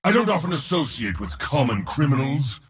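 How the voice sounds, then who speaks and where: A man playing an arrogant, boisterous war god in a video game, speaking in a bombastic, boastful way with a gravelly, raspy voice. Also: mid-range voice, sing-song, fairly quick, long reverb tail, full character voice. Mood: smug, conversational. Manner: bombastic, boastful, theatrical delivery. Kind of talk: video game hero voice lines.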